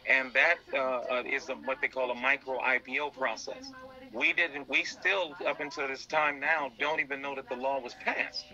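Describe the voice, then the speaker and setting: Male interview guest, very bright forceful voice, earnest and halting, on a dull lo-fi feed. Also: mid-range voice. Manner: halting, repeats words. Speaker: interview guest, business founder. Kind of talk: earnest explanatory interview talk.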